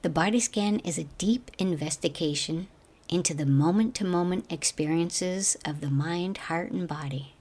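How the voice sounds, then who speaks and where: Low-voiced woman guiding a meditation. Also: a little mellow. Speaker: meditation teacher guiding a body scan. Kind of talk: guided meditation narration.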